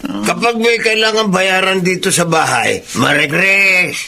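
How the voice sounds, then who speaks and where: A man's voice: high-pitched, measured pace, gravelly, sharp tone, slight background noise, heavily acted. A man with a Filipino accent playing a grumbling, defensive middle-aged father who drinks, in a radio drama. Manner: grumbling, accusing.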